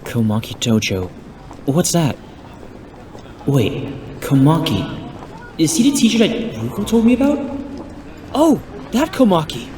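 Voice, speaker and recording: A man playing a calm, polite young hero in an amateur audio drama, talking casually. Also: fairly high pitch, a little slow, long pauses, a little hiss or hum. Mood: curious. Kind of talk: fan audio drama acting.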